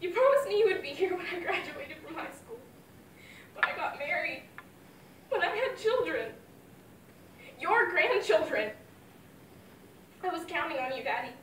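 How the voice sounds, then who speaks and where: A woman's voice: sits high, lively intonation, normal speed, pauses often, fairly bright tone, reverberant, recorded from a distance, a little hiss or hum, low-quality recording. An actress playing a grieving young mother who talks to her dead father in a heartfelt dramatic monologue. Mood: anguished. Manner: heartfelt, wavering between fond memories and anguish.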